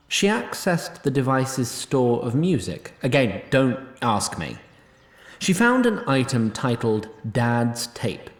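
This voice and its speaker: A roomy-sounding actor as a male storyteller narrates a fantasy audio drama. He is formal, precise and dryly pedantic, with pitch that swings widely for emphasis. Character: wry, pedantic, faintly exasperated storyteller of a fantasy tale.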